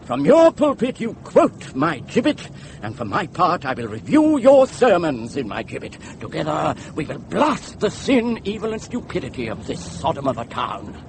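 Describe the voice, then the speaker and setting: A ranting crusader in a drama, scornful and defiant. The voice is fairly high and swings widely as he declaims, with faint noise behind it. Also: wildly swooping pitch, moderate pace. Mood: fervent, persuasive. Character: fiery, ranting male crusading pamphleteer, defiant and suspicious. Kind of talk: theatrical dramatic monologue and dialogue.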